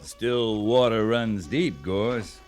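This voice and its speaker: An actor playing a seasoned, gruff, dry cavalry captain in an old-time radio western. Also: male voice, lowish voice, drawn-out words, a little mellow, faint music behind. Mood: wry, thoughtful. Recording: noisy old radio drama recording.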